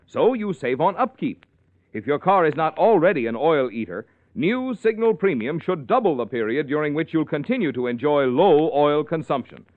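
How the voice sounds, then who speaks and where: A man reading an emphatic commercial as an announcer on vintage radio. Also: fairly high pitch. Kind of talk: 1940s radio advertising read, emphatic slogans. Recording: echoey, tinny old radio recording.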